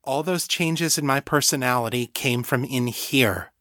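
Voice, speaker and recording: A man's voice: mid-range voice, unhurried, bright, forceful tone, no room sound, clean studio sound. A man voicing a proud, headstrong woman in an audiobook, blunt, emphatic and defiant. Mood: defiant, angry.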